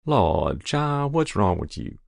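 A man's voice: on the low side, sing-song, slow, gravelly, darkish tone, no room sound, clean studio sound, full character voice. A man voicing a stern, exasperated rural mother with a Southern US accent, blunt and dismissive in a story reading. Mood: softer, worried. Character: stern, scolding rural mother, adult woman, exasperated but loving.

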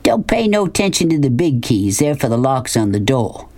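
A gruff, bullying country man from a storybook, voiced by a woman reader, speaking with a drawl. He is folksy and pushy, with a mocking edge to what he says. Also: extremely low pitch, very animated, normal speed, warm tone, full character voice. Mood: pushy, instructing. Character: gruff, bullying, sweaty-faced rural motel man.

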